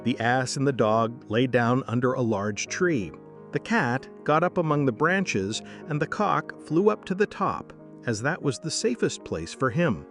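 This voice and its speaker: A man's voice: medium pitch, lively intonation, a little slow, a bit bright, faint music behind. A man reading scripted bedtime fairy-tale narration as a storyteller.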